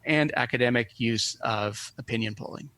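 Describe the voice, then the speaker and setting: A man's voice: mid-range voice, normal speed, fairly bright tone, no echo. Scholarly man explaining, dry close sound. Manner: hesitant, digressive, scholarly phrasing. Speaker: professor, expert guest. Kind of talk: academic explanation in an interview.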